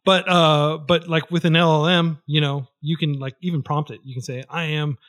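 A man with a somewhat high-pitched voice talks freely as a podcast guest. He rambles and restarts often, stringing thoughts together with fillers. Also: fairly even intonation, moderate pace, fairly bright tone. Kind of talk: casual podcast conversation.

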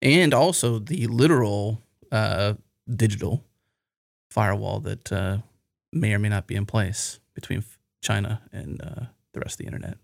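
A podcast host, a man with a low, gravelly voice, recorded dry and close. He asks curious questions and drifts into rambling asides. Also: moderate pace, dry recording. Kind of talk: casual podcast conversation.

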